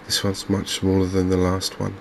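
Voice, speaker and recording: A man hosting a gaming video in a softly spoken ASMR commentary style, unhurried and hesitant, musing to himself. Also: deep voice, completely flat delivery, gravelly, a little hiss or hum.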